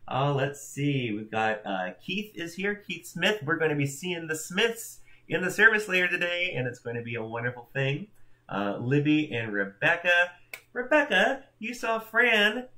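A man's voice: a little high, big pitch swings, moderate pace, bright, forceful tone. A man in his thirties hosting a church livestream from home, chatting cheerfully and greeting viewers by name in a loose, rambling way. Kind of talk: casual welcome chat. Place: home room in front of a backdrop.

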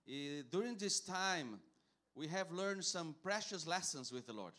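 A man's voice: fairly high pitch, lively intonation, slow pace, sharp tone. A middle-aged man whose first language is Portuguese, preaching in English on a church stage in short phrases for an interpreter, with some hesitations. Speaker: guest missionary preacher. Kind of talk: sermon with live interpretation. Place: church stage, large hall.

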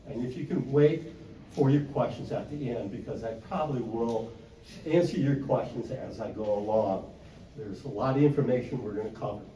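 An older man gives a plain-spoken talk at a convention, loud and steady, with frequent "uh" fillers. His voice is dark and mellow. It carries through an echoey hall from a mic held away from his mouth, over faint room noise. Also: medium pitch, distant mic. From Wisconsin. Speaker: conservation speaker. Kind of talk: convention presentation. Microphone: handheld mic held away from mouth.

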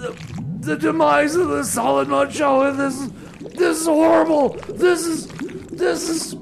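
Very high-pitched, stammering, anguished radio host. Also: male voice, flat delivery, creaky, background noise, heavily acted. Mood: anguished, pleading. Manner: halting, stammering, whiny. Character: flustered, anguished male radio show host in comedy sketch. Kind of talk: improvised comedy radio theater, back-announcing songs.

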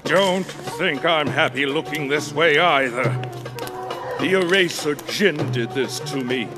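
A man's voice: a little high, slow pace, over music. An actor playing a grand old skeletal king in a video game, courtly and grave, with formal, theatrical phrasing. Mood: indignant, grand. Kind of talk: video game cutscene acting.

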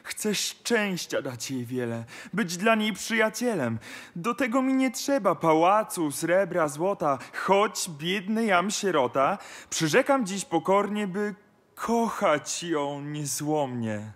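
A man's voice: high-pitched, lively intonation, slow, fairly bright tone, heavily acted. A man playing a humble, devoted poor young suitor in a rhymed musical fairy tale. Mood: earnest pleading. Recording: music under the voice.

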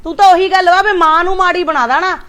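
A woman acting as an older mother in a family drama, reproachful and quick to take offence. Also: a little high, lively intonation. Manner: reproachful, grows heated and loud.

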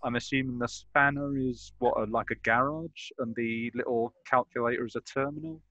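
A man's voice: medium pitch, steady tone, normal speed, sharp tone, no echo, lo-fi sound. A man talking casually as a player planning moves in an online tabletop role-playing game. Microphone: close mic on a group video call.

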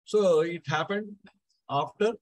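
Higher-voiced South Indian man, halting storyteller. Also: no echo, rough recording. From Andhra Pradesh, India. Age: middle-aged. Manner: halting, repeats phrases. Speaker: Carnatic musician, guest speaker. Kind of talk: devotional anecdote, casual spoken storytelling.

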